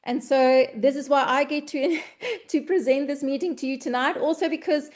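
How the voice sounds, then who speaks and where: A woman's voice: high voice, normal speed. A woman from South Africa with an Afrikaans accent, Afrikaans her first language, giving an earnest, heartfelt webinar presentation with frequent ums and restarts. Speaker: presenter of an online business meeting.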